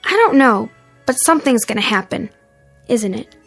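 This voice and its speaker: An actress in a radio drama playing an earnest, caring teenage daughter who questions anxiously. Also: female voice, on the high side, big pitch swings, moderate pace, gravelly, dry recording, faint music behind, heavily acted. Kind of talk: naturalistic radio drama acting.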